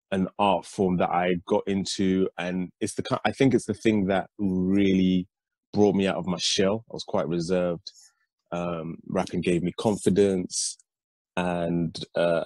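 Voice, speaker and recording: A man with a very deep, low voice and a British accent, talking in a relaxed and reflective way as an interview guest. The sound is close and dry, with no room around it. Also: takes it slowly, dry recording. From United Kingdom and Nigeria. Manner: reflective, steady storytelling with frequent ums. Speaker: guest, rapper and educator.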